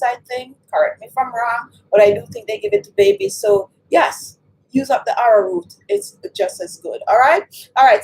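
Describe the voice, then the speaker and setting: A woman's voice: fairly high pitch, a little mellow, breathy edge, poor audio. A woman from Jamaica, hosting a live beauty stream and chatting with viewers as she reads their comments. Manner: chatty, talks straight to the chat, reads viewer comments aloud. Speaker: beauty channel host.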